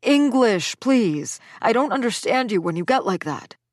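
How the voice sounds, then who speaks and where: A woman's voice: fairly high pitch, big pitch swings, unhurried, dry recording, pitched above the performer's own voice. A woman voicing an exasperated middle-aged father who pleads wearily, in a dry close recording. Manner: pleading, weary.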